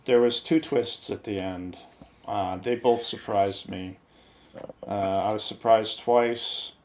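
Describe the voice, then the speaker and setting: A man giving his opinion in a casual film review chat, hesitant and measured as he weighs his verdict. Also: on the low side, fairly even intonation, a little mellow, a little hiss or hum. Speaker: guest movie reviewer.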